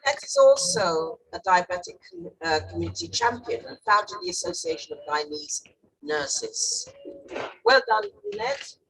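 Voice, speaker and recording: A woman's voice: on the low side, huge pitch swings, measured pace, creaky. A woman hosting a medal ceremony, introducing each recipient with upbeat praise. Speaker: ceremony host. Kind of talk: ceremony announcing. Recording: distant mic, faint noise.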